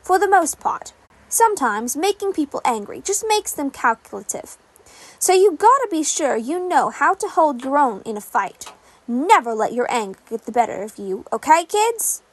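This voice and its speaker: Storybook role: upbeat, joking young hero man, high and animated female reader. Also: moderate pace, no echo. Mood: playful, advising. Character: upbeat young male hero sidekick, sage prankster. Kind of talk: podfic storybook character dialogue.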